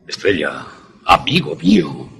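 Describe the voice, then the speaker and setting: A man playing an erudite, self-assured scientist in a suspense radio drama, explaining things formally and with deliberate pauses. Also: sits low, wildly swooping pitch, creaky, fairly bright tone, soft background music, exaggerated voice. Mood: defensive, solemn. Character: erudite, self-assured male scientist who creates ghost images.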